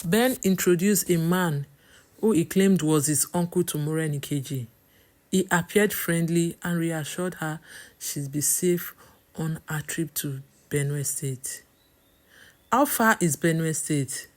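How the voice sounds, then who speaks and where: A woman's voice: deep voice, a little slow, a little mellow. A woman with a Nigerian accent reading a scripted story as its storyteller.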